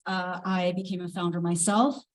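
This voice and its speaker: Measured woman investor on a panel. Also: lowish voice, little pitch movement. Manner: measured, deliberate explanations. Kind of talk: panel discussion. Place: event space with a live audience.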